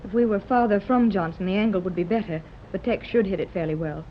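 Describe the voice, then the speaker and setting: A woman's voice: medium pitch, fast talker, close to an everyday voice. An actress playing a capable, hopeful mother in a 1930s radio serial, with earnest and dramatic delivery. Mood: brisk, composed. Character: hopeful, capable mother seeking her lost daughter. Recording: scratchy, muffled 1930s radio recording.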